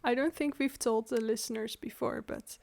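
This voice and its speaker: A woman chatting as a podcast co-host with a voice pitched a little high. She is playful and teasing, and she jumps in with quick reactions. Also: fairly even intonation, vocal fry, darkish tone. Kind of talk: casual chat.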